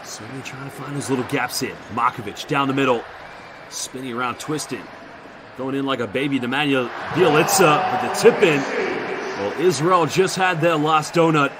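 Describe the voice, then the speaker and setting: A man's voice: mid-range voice, normal speed, crowd noise. Chatty London play-by-play man calling basketball over a noisy arena feed. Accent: London English. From England. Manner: chatty, reads out viewer shout-outs between plays. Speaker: play-by-play commentator. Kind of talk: live basketball commentary.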